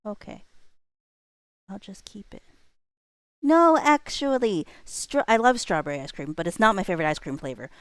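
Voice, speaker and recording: A woman's voice: mid-range voice, sing-song, normal speed, pauses often, smooth, no room sound. A woman hosting a livestream, chatting casually and playfully with viewers in a rambling way. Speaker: livestream host. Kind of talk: casual chat with live viewers.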